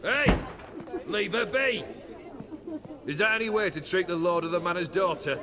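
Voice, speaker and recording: Over a busy crowd, a jovial, domineering noble lord in a fantasy game. His voice swings widely in pitch, with flamboyant, old-fashioned turns of phrase. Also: expressive, normal speed. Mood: angry. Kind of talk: theatrical video game acting. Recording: busy crowd noise behind.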